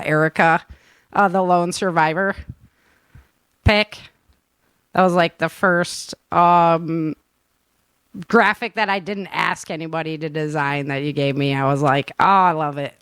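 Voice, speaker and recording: A woman's voice: lowish voice, fairly bright tone, smooth, dry recording. A woman hosting a casual chat as an author, speaking in an enthusiastic, informal way with ready laughter. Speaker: author and show host.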